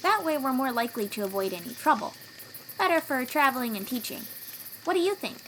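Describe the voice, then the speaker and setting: A woman playing a young, protective sea-dweller warrior in an audio roleplay, encouraging and gently teasing as she talks straight to the listener. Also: high voice, expressive, a little fast, a little hiss or hum, rough recording. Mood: casual, reassuring.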